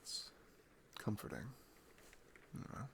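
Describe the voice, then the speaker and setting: A man playing an affectionate, playful boyfriend in an ASMR roleplay, talking softly and intimately. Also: a little hiss or hum. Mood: tender, content. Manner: soft, unhurried, intimate, chuckling. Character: affectionate, playful, sleepy-morning boyfriend, cuddly and sweet.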